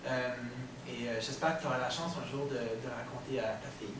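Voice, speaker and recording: A man gives quick closing remarks at a conference, hesitating often between phrases. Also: mid-range voice. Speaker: conference organizer. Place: conference room without windows. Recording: very echoey room from far off, noisy.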